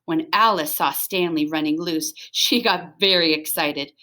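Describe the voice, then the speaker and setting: Expressive woman reading a children's storybook. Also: low voice, a little slow. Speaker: storybook reader. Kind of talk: expressive children's read-aloud narration.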